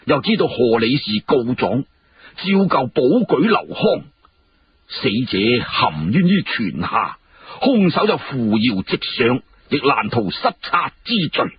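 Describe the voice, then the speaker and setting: A male storyteller voices an earnest court official in a historical tale. He argues formally and insistently, stretching key phrases with lively pitch swings, over a muffled, noisy recording. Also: slow. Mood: earnest, critical. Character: earnest, formal court official arguing carefully before his emperor. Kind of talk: Cantonese historical storytelling dialogue.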